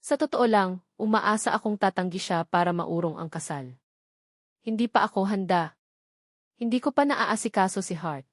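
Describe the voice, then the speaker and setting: A woman's voice: mid-range voice, moderate pace, pauses often, no echo, clean studio sound. A woman reading a scripted first-person romance novel as an audiobook narrator.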